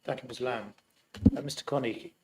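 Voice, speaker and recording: A man's voice: medium pitch, brisk, heavy background noise. A man chairing a formal hearing, briefly and politely calling on speakers by name.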